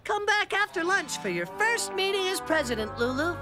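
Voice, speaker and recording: A woman's voice: very high-pitched, sing-song, moderate pace. A woman voicing a scheming, self-important little boy in a cartoon, cajoling and declaiming to his pals. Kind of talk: cartoon voice acting. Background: cartoon music.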